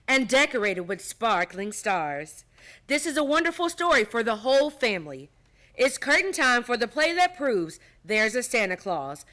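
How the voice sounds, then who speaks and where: A woman narrates an old-time radio play with theatrical flourish. Her voice is bright and fairly high, and her intonation is lively.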